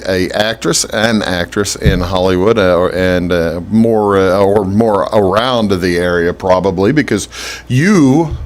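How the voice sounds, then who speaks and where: A man hosting a casual interview show, talking haltingly with many fillers and restarts, joking and laughing often. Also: sits low. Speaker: talk show host.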